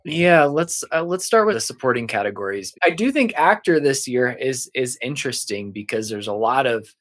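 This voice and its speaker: A man's voice: mid-range voice, lively intonation, moderate pace, a bit bright. A man co-hosting a film podcast, talking in an easygoing, agreeable way. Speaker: podcast co-host. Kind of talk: casual film industry chat.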